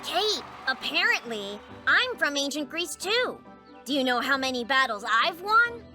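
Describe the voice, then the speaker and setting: A woman voicing a cheeky, eager and impatient young boy in an animated children's series, with flippant, boastful delivery. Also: high-pitched, measured pace. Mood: curious, boastful. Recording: music behind the voice.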